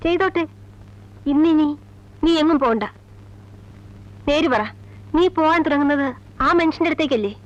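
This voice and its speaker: A woman's voice: sits high, moderate pace, pauses often, natural voice. A middle-aged actress playing a strict, anxious, wounded mother in a Malayalam film drama, speaking in a firm, emotionally weighted way. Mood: stern, forbidding. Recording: dull old film soundtrack with music.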